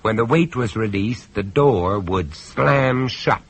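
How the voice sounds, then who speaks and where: An actor playing the male narrator of a radio horror tale, measured, urbane and ominous. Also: on the low side, lively intonation, husky, heavily acted.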